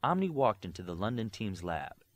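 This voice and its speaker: A man's voice: fairly low pitch, very animated, normal speed. A man narrating a work of fiction as an audiobook reader, in scripted storytelling style.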